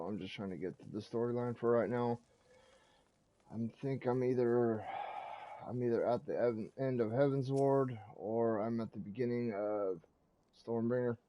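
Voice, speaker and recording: A man's voice: mid-range voice, unhurried, pauses often, dull audio. A man giving casual gameplay commentary as a streamer, talking through what he is doing. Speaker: game streamer.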